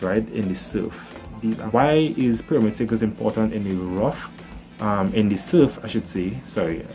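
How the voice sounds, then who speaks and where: A man's voice: fairly low pitch, warm tone. A young man with a Trinidad and Tobago accent, chatting to camera about his fishing gear and often checking in with "right?", recorded indoors on a close camera. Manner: chatty, explains with "right?" tags and fillers. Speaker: fishing vlogger. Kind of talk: casual gear walkthrough to camera. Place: indoors by wooden doors.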